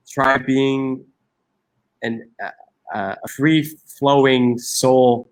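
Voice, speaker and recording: A man's voice: medium pitch, a bit bright. A man in his forties from Egypt, a guest talking earnestly in an interview, restarting his sentences often. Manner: earnest, many restarts and fillers. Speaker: guest, social entrepreneur. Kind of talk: reflective interview conversation.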